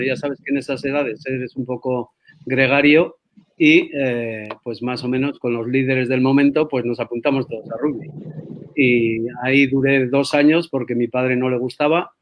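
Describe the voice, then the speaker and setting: A man's voice: medium pitch, poor audio. Older Spanish man telling stories. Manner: relaxed storytelling with fillers. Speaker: guest, sports federation president. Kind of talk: casual interview.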